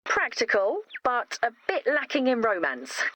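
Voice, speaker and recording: A woman voicing a chirpy, confident, quirky young builder in a video game, brisk and matter-of-fact. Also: on the high side, wildly swooping pitch, pushed and bright, no echo, heavily acted. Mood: practical. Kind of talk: video game character dialogue.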